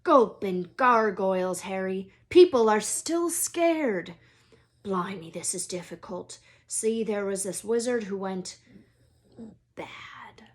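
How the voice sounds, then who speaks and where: Woman acting out big gruff wizard man, storybook, unhurried pace, folksy dropped endings, emotional, good-hearted, anxious. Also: medium pitch, lightly acted. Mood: grave, reluctant.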